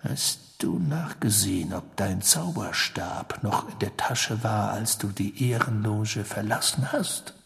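A brooding man in an audiobook, acted by a male narrator, grave and probing. He speaks slowly and quietly, with a breathy, gravelly huskiness. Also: big-room sound, heavily acted. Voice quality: hushed, breathy, low. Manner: slow, probing questioning.

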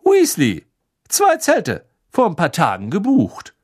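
A man's voice: high voice, very animated, slow, darkish tone, pitched above the performer's own voice, full character voice. A man voicing a cheerful, flustered middle-aged wizard father in a storybook.

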